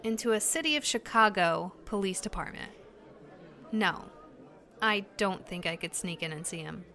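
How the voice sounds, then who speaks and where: A young woman in an audio drama, mid pitch, earnest, kinda hesitant but determined. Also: normal speed, pushed and bright. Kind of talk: naturalistic audio drama dialogue.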